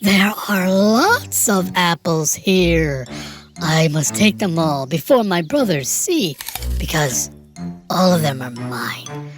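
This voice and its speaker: A woman voicing a greedy, gluttonous young male pig in an animated children's fairy tale, possessive and exaggerated. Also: fairly low pitch, slow pace. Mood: greedy delight. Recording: music behind the voice.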